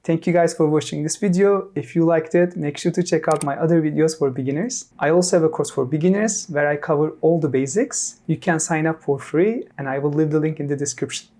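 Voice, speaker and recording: A teacher in their thirties from Turkey, leading a repeat-after-me lesson and saying each word clearly, then again. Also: mid-range voice, normal speed, hardly any pauses, dark tone. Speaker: French teacher.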